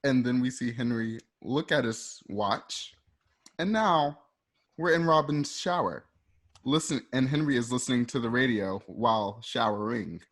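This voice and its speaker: A man's voice: mid-range voice, a little slow, fairly bright tone. A man narrating a radio drama, reading out scene directions step by step in the present tense.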